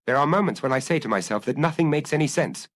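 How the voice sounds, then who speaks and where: A man's voice: fairly high pitch, expressive, fast, no room sound, studio quality, voice pushed up, natural voice. A man voicing an anguished, restless fugitive office clerk, agitated and confessional, in an audiobook. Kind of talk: audiobook character dialogue.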